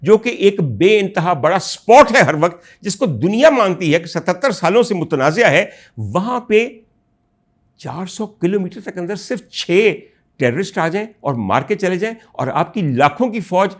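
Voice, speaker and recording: A man with a Pakistani Urdu accent hosting a political commentary show, delivering a rhetorical monologue to his audience that builds to loud indignant outbursts. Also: high voice, sing-song, moderate pace. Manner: addresses listeners as ladies and gentlemen.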